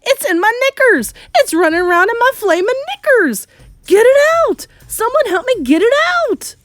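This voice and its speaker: A woman voicing a frantic male cook in a comic storybook, yelling in shrill alarm. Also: big pitch swings, normal speed, no room sound, studio quality. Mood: frantic panic.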